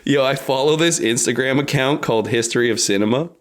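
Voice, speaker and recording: A man's voice: medium pitch, fast talker. A man co-hosting a casual podcast over a remote call, laughing often, swearing freely and rambling. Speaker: podcast co-host.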